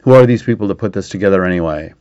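A man's voice: low voice, rapid-fire, a little mellow, no room sound, dull audio. A mature American man, a pastor, giving an informal afterword in a rambling, conversational way. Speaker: pastor, host.

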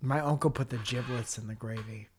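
Young dude from connecticut, rambly and profane, goofing around on a podcast. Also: male voice, mid-range voice, steady tone, a little fast, background noise. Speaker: podcast co-host. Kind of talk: casual chat.

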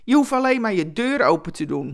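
A woman voicing a boisterous, blunt homeless man who talks loudly and eagerly in a Christmas radio story. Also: fairly high pitch, big pitch swings, fairly quick, voice pushed up. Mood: loud, earnest. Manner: loud, blunt, exuberant. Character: boisterous, enthusiastic homeless man, rough and talkative.

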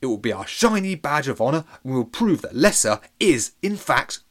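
A man's voice: mid-range voice, sing-song, moderate pace, lightly acted. A man voicing a wise but grumpy village elder in a storybook, bellowing to rouse a crowd. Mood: rousing. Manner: bellowing, rousing.

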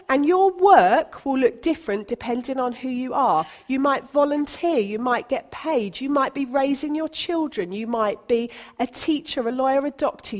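A woman's voice: high voice, darkish tone. A woman pastor from Britain, preaching a sermon in a chatty, encouraging way with light humour. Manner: conversational, encouraging, self-deprecating humour.

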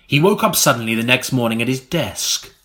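A man narrates a gothic tale in a mock-serious audiobook style. His delivery is deliberate and melodramatic, and his voice sits at an average male pitch. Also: expressive, sharp tone. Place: recording studio.

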